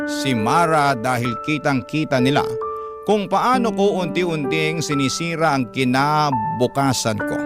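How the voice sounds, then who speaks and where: Man narrating over loud music. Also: medium pitch. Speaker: radio drama host and narrator. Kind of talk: scripted first-person story narration. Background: prominent instrumental background music.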